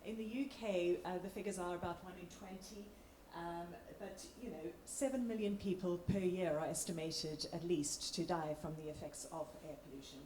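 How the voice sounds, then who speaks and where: A woman with a lowish, slightly croaky voice presents as a doctor at a public meeting. Her delivery is informal and a little hesitant, in an echoing room with faint background noise. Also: moderate pace, few pauses, darkish tone. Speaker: doctor, clinical respiratory lead.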